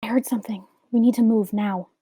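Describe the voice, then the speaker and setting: A woman's voice: fairly high pitch, fairly even intonation, a little fast, mellow, husky, no echo, studio quality. A woman reading the part of a guarded, independent young female mage in a matter-of-fact way at an amateur script table read. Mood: urgent.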